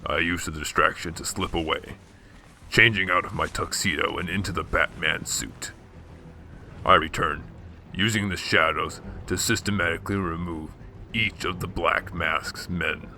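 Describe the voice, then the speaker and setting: A man's voice: low-pitched, steady tone, normal speed, sharp tone, slight background noise, full character voice. A man playing a grim, terse vigilante hero who narrates and acts in a radio drama. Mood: grim, controlled. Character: grim male vigilante hero narrating his night's work. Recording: music behind.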